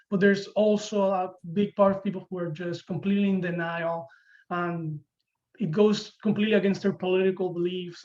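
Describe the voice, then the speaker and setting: Casting: male student, early 20s, Venezuelan accent, native Spanish speaker, high voice, halting interview delivery, dull lo-fi audio. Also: a little mellow. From Venezuela, Caracas. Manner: hesitant, halting with repeated words. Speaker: university student, interview guest. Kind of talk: conversational interview answers.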